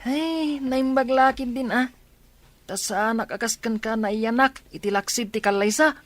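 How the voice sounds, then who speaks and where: A woman's voice: on the high side, moderate pace, pitched below the performer's own voice, close to an everyday voice. A woman doing a taunting spoiled half-brother. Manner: taunting, mocking.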